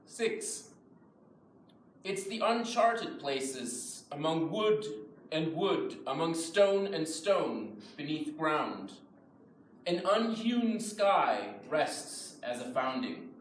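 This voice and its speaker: A man reciting poems in translation at a live poetry reading, in a measured style. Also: a little high, very animated. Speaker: reader of English translations. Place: live event in a reverberant room. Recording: echoey room, distant mic, faint noise.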